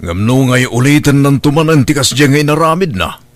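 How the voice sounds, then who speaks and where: A man's voice: medium pitch, lively intonation, fairly quick, natural voice. A man playing a stern, emphatic father in a radio drama, with an Ilocano Filipino accent. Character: stern father of a household wronged by theft.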